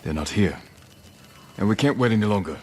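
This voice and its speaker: An actor playing a determined samurai lord in a video game, earnest, restrained and commanding. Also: male voice, lowish voice, expressive, brisk, a little mellow, slight background noise, close to an everyday voice. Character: solemn, determined samurai lord leading warriors. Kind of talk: video game cutscene acting.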